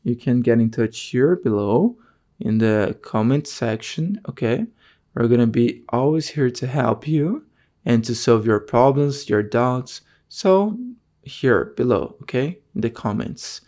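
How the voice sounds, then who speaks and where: A man's voice: mid-range voice, animated, measured pace, dark tone. Man teaching an online course. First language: Portuguese. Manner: keeps checking in with "okay?"; non-native phrasing, frequent fillers. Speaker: course instructor.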